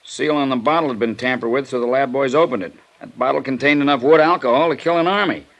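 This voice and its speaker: An American actor playing a businesslike police detective, casual and matter-of-fact, in a 1950s radio drama. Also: male voice, mid-range voice, fast, a bit bright, lightly acted. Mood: grave. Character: businesslike male police detective, friendly colleague of the hero.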